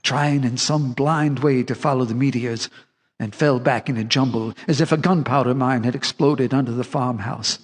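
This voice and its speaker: An actor playing an old American farmer, bitter and unhinged, telling of horrors in a folksy, intense audio drama style. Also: male voice, medium pitch, steady tone, moderate pace, natural voice. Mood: grim, haunted. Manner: folksy, intense storytelling with theatrical swells.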